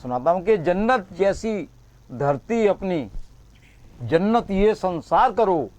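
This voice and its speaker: A man's voice: high-pitched, lively intonation, takes it slowly, a little mellow. A man reciting patriotic verses at a poetry session, repeating each couplet and sometimes singing them in a melodic line. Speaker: poet.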